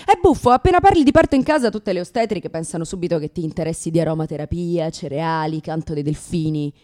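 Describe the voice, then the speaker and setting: Actress as exasperated new mother, thirties, brash, sarcastic, swooping expressive pitch, theatrical comic radio monologue. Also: fast. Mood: wry recounting. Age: 30s. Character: sardonic, foul-mouthed, exasperated new mother in her thirties.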